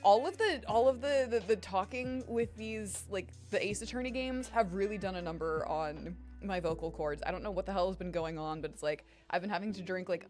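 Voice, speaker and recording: A young woman streamer chatting casually with her viewers, her voice strained and tired, rambling and swearing freely. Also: mid-range voice. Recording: faint music behind voice. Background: faint music.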